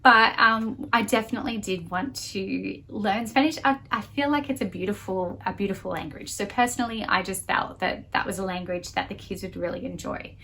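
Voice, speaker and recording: A woman's voice: medium pitch, moderate pace, hardly any pauses, sharp tone, a little hiss or hum. A woman in her thirties talking casually to camera as a channel host, giving a review in a small room at home. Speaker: homeschool channel host reviewing a language curriculum.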